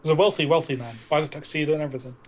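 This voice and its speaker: A man with a somewhat high voice trades casual banter as a podcast co-host. He jokes, swears and argues his points about films in a loose, conversational way. There is a little noise behind the voice. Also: steady tone, rapid-fire. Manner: sweary, joking, argumentative.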